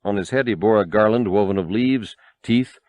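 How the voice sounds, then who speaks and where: A man narrating a novel as an audiobook in a dramatic, expressive and measured storytelling style. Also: on the low side, dry recording.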